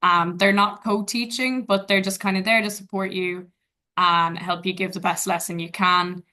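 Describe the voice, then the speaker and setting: A woman's voice: fairly low pitch, fairly even intonation, moderate pace, sharp tone. A young Irish woman, an English teacher, answering webinar questions in a casual, thoughtful and friendly way. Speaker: guest, English teacher in Vietnam.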